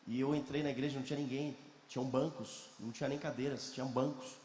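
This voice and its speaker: Man, earnest testimony, rapid delivery, long hall reverb, faint room noise. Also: medium pitch, steady tone, darkish tone, distant mic. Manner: earnest, repeats phrases for emphasis. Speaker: guest speaker. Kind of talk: personal testimony to an audience. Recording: echoey hall, mic from afar.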